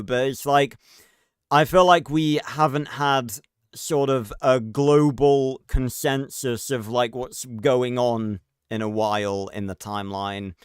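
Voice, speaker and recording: A man's voice: medium pitch, clear, resonant tone, no room sound, studio quality. A man giving casual, rambling livestream reaction commentary with frequent swearing. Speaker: reaction streamer.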